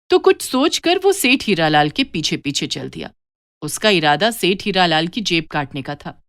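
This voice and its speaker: A woman's voice: mid-range voice, a bit bright, no echo, clean studio sound. A woman narrating a scripted moral story for children as a storyteller.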